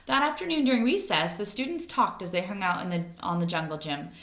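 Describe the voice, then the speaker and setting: An echoey, roomy recording of a woman reading a story aloud. She sounds like a teacher talking to small children, telling it expressively and adding little asides. Her pitch sits in the middle range for a woman. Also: brisk. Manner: expressive, addressing young children. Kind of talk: read-aloud of a children's picture book with asides.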